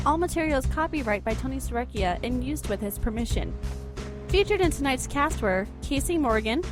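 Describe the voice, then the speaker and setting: A woman's voice: high-pitched, lively intonation, moderate pace. A woman announcer reading scripted credits for a show. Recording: loud music under the voice. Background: music.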